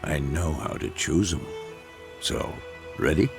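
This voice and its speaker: An actor playing a grizzled older monster hunter, a wry mentor with a gravelly voice who teases dryly. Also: male voice, very deep voice, expressive, takes it slowly, exaggerated voice. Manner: dry, teasing, matter-of-fact. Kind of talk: video game voice acting. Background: game music.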